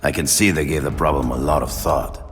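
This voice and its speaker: A man playing a brash, sardonic, foul-mouthed demon hunter in a video game, with a gruff voice. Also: fairly even intonation, fairly quick. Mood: sardonic, irritated. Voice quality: gravelly, low. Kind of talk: video game cutscene acting. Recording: loud game music behind the voice.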